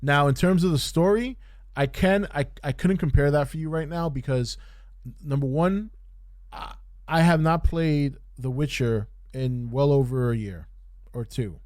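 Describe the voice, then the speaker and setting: A man hosting a podcast, giving casual unscripted review commentary in a halting way, repeating phrases for emphasis. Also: mid-range voice, a little mellow, no echo, studio quality. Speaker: podcast host reviewing TV shows.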